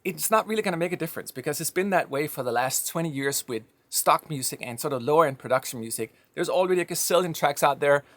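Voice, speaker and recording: A man's voice: a little high, animated, fast, hardly any pauses, a bit bright, dry recording. A man from Denmark with a slight Danish accent, giving a reflective, conversational monologue with firm opinions. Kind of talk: personal monologue.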